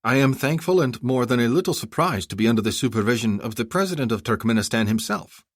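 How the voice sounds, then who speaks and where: A man's voice: mid-range voice, expressive, fast talker, no echo, studio quality, voice pushed up, lightly acted. A man voicing a proud, composed princess with a formal, imperious manner in an audiobook. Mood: formal, polite.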